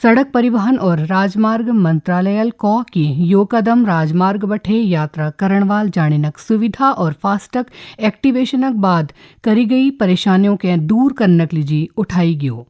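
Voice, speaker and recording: A woman with a Kumaoni accent reading a scripted regional radio news bulletin in a formal, measured announcer's manner. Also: mid-range voice, mellow. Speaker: radio newsreader.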